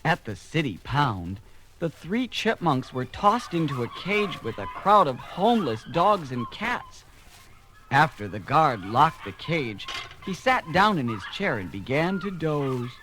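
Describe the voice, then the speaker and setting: A man's voice: fairly high pitch, big pitch swings, unhurried, noisy background. A man narrating a children's read-along story from a script. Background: music.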